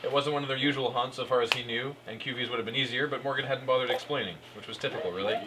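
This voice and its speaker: A man's voice: mid-range voice, steady tone, very fast. A man doing a live comic read-aloud as narrator, stumbling over lines with amusement and cueing his fellow performers, in a noisy live room recording. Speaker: narrator reading fan fiction aloud.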